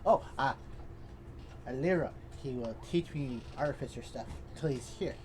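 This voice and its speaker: A woman's voice: rumbling low, moderate pace, noisy background. A woman playing a tabletop game, speaking in a giggly, playful, childlike way. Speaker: player. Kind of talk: in-character tabletop role-play.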